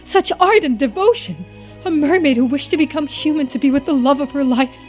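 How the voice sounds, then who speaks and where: Woman playing a theatrical romantic gentleman, animated and high. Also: a little fast, soft background music. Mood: enraptured. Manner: florid, formal, dramatic phrasing. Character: theatrical, romantic, well-spoken gentleman, easily enraptured. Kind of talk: video game dialogue read aloud in character.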